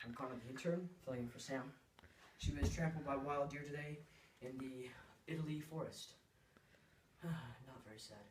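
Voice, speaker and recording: A man filling in as host of a mock news skit in a dry, deadpan way. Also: medium pitch, normal speed, distant mic. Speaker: stand-in host in a school skit. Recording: echoey room from afar with faint noise.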